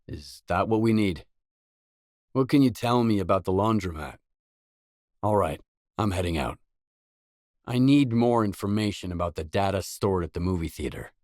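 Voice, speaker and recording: Guy acting as gritty stalker game hero, deep dry close voice, blunt and serious, no nonsense. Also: dry recording, natural voice. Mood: businesslike. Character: determined, blunt male stalker adventurer in a grim zone. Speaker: game protagonist. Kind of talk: video game dialogue voice lines.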